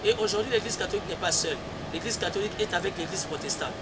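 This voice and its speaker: A man's voice: high-pitched, racing. Emphatic Congolese man over street traffic. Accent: Congolese French. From Congo-Kinshasa. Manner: emphatic, repeats key phrases, mixes in Lingala. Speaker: church spokesperson and political commentator. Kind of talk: heated political debate. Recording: loud street traffic noise.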